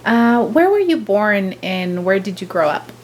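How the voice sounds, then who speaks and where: A woman's voice: medium pitch, very animated, measured pace, a little mellow, a little hiss or hum. A woman conducting an oral history interview, polite and formal, with brief encouraging replies.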